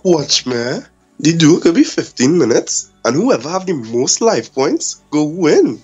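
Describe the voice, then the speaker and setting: Someone acting a husky, smug Trinidadian cartoon villain. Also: mid-range voice, sing-song, unhurried, a little mellow, lo-fi sound, soft background music. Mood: taunting. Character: smug, theatrical long-haired male millionaire villain.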